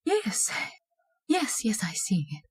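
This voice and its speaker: An actress playing a frightened, easily swayed wife turned desperate murderess in a radio horror drama, hesitant and pleading. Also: female voice, mid-range voice, wildly swooping pitch, slow pace, a little mellow, husky, heavily acted. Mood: nervous. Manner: hesitant, repeating words, pleading. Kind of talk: old-time radio horror acting.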